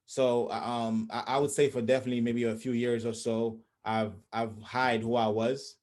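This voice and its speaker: A young man from Haiti chats over a webcam from a small room. He talks thoughtfully, circling back and restarting as he works out what he means. His voice sits at a middle pitch. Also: little pitch movement, normal speed, a little mellow. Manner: reflective, many restarts and fillers. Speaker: host. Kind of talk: casual conversation on a video call. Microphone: video call webcam.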